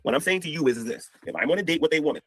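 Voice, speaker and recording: A man's voice: on the high side, expressive, very fast, a bit bright, no echo. An older man from the United States with a head cold, talking casually on a livestream and preaching with scripture quotes. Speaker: streamer.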